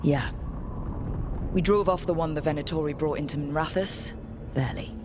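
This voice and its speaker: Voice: medium pitch, normal speed, background noise, close to an everyday voice. An actor voicing a resolute, plain-spoken young hero who leads a resistance in a fantasy video game. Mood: matter-of-fact. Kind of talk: video game cutscene acting.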